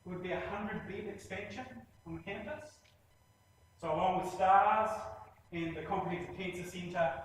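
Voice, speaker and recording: A man with a New Zealand accent giving a presentation on hospital plans, speaking plainly with many pauses and a habit of saying "actually". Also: sits high, far from the mic, slight background noise. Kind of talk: presentation in a lecture series. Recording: very echoey room, voice from afar, faint ambience.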